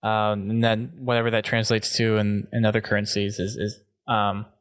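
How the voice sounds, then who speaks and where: A man's voice: on the low side, little pitch movement, moderate pace, clean tone, no echo. Man hosting a podcast, close dry sound. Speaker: podcast host. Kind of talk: casual gaming podcast talk.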